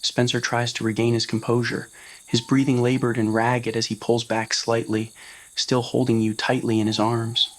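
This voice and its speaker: A male narrator with a mid-pitched voice reads a scripted romance. The delivery is that of an ASMR roleplay story, told to the listener. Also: level tone, normal speed, rough recording. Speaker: narrator of fan fiction audio roleplay.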